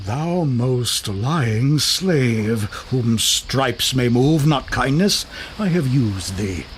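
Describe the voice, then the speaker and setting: A man performs a wrathful, imperious magician scolding in Shakespearean verse. His delivery is measured and declamatory, sweeping dramatically in pitch. A faint noise lies behind the voice. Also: lively intonation. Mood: indignant.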